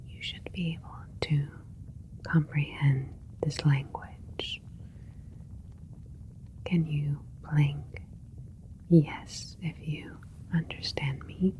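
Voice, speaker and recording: Alien doctor for sci-fi ASMR, female performer: low-pitched whisper, measured, clinical, calm, extremely unhurried. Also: dull audio. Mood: gentle, clinical. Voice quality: soft whispering. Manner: slow, measured, clinical, deliberate. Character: calm, clinical alien scientist doctor creating a plant hybrid.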